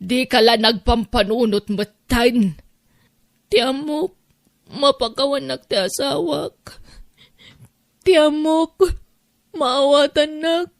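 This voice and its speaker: A woman with an Ilocano accent playing a remorseful wife who pleads tearfully and haltingly in an audio drama. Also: high-pitched, dry recording, clean studio sound.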